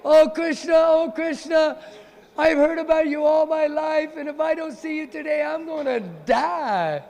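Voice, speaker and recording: A man playing a jittery young cowherd boy, stammering and pleading in a high voice that swings up and down. It rings out in a big hall with a long echo. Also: lively intonation, normal speed, gravelly, heavily acted.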